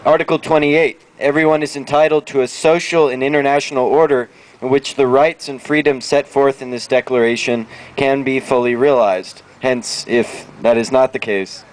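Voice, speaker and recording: A man's voice: mid-range voice, fairly even intonation, moderate pace, low-quality recording. A man reading a formal declaration aloud as a public reader. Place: outdoor town plaza.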